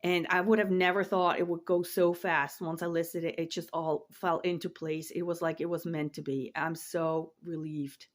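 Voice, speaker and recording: A woman's voice: on the low side, little pitch movement, moderate pace, hardly any pauses. A woman in her forties from Switzerland, chatting casually to camera in her home office, rambling and repeating words as she trails off. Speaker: online reseller and vlogger.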